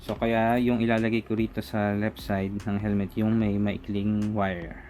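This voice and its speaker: A man's voice: on the low side, level tone, measured pace, a little mellow. A Filipino man casually talking through a hands-on product installation step by step, as a reviewer. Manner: informal, step-by-step, narrating own hands-on work. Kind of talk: casual unboxing and installation walkthrough. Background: music.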